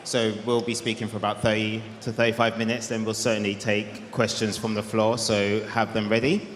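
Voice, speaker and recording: A man's voice: on the low side, little pitch movement, fairly quick. Guy moderating a panel, lots of ums, hall reverb.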